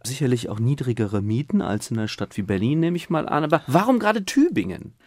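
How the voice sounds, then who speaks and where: A man's voice: mid-range voice, very animated, quick pace, darkish tone, no room sound. Male radio interviewer, dry studio-close sound. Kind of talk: conversational interview questioning.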